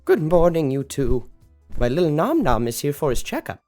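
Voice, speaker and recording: A woman's voice: low voice, very animated, moderate pace, mellow, faint music behind. A woman playing a doting, fussing, apologetic witch who owns a pet dragon, in an audio fantasy drama. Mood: cheerful.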